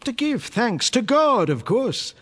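A man's voice: very high voice, sing-song, takes it slowly, fairly bright tone, full character voice. An actor playing a gracious, devout Pilgrim governor in a radio drama, genial and stately in his formal speech. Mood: genial, pleased. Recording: faint music behind. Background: faint music.

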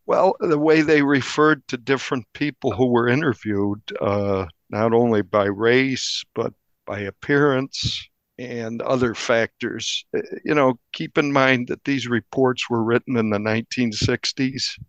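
A man's voice on a dull, dry recording, at an average pitch. He talks as a reporter being interviewed, telling a story conversationally. His delivery is measured and descriptive. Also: fairly bright tone, dry recording. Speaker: newspaper reporter, interview guest. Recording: dull, band-limited audio.

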